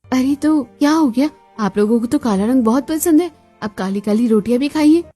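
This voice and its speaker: A sarcastic, defiant young cartoon daughter-in-law, voiced by a woman, dramatic and mock-sweet. Her voice is fairly high, with faint music behind. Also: animated, normal speed, warm tone, slightly rough.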